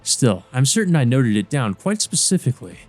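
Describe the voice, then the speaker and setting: A man's voice: mid-range voice, very animated, fairly quick, darkish tone, faint music behind. Man voicing a haughty vampire villain, coldly formal. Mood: disdainful. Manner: formal, disdainful. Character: haughty scholarly male vampire villain, cold and contemptuous.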